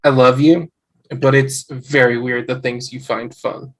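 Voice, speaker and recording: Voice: mid-range voice, fairly even intonation, a little slow. An actor playing a skeptical young husband with a dry, casual manner in a comedy script read.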